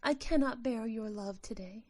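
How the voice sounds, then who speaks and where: A woman's voice: a little high, normal speed, natural voice. A woman playing a tiny, delicate winged sprite in an audio drama, earnest and tender, with formal, solemn phrasing. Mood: grave, pleading.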